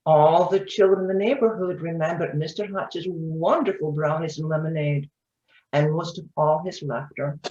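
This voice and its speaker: A woman's voice: sits low, steady tone, moderate pace, dark tone. A woman reading a children's storybook aloud as a narrator. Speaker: reader in a readers theatre. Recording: roomy, dull lo-fi sound.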